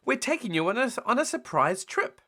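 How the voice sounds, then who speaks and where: A man voicing an excitable little girl pig in a storybook reading, squealing with breathless excitement. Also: extremely high pitch, brisk, voice pushed up, full character voice. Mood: excited.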